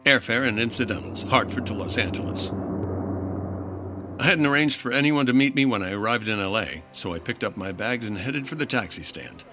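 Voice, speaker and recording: An actor playing a suave, wisecracking 1950s insurance investigator in old-time radio detective style, with a dry manner. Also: male voice, mid-range voice, brisk, music in the background, natural voice. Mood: crisp narrating.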